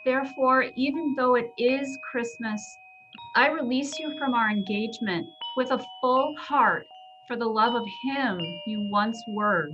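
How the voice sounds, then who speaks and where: A woman acts a gentle young Victorian fiancée, earnest and quietly resolute. She speaks slowly in a fairly high voice, sad and measured. Music plays clearly behind her. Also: dull audio. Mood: sorrowful, resolute. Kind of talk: dramatic reading of a Victorian Christmas story.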